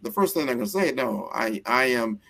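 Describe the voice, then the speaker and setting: A man's voice: mid-range voice, sing-song, brisk, vocal fry, pushed and bright. An American man hosting a casual interview, talking earnestly and rambling with frequent restarts. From United States.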